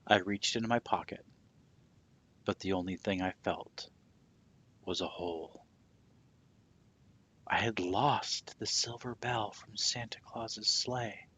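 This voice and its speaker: A man reading a children's story aloud expressively in a husky, breathy voice, with a hushed, dramatic and deliberate delivery. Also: on the low side, fairly even intonation. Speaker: storybook reader.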